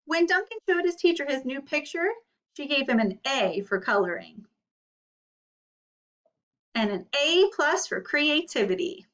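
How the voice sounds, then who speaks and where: High-voiced woman teacher, slightly muffled. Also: expressive, a little slow, long pauses, fairly bright tone. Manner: explanatory, questioning. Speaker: elementary school teacher. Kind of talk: read-aloud lesson for children. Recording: slightly muffled, distant microphone.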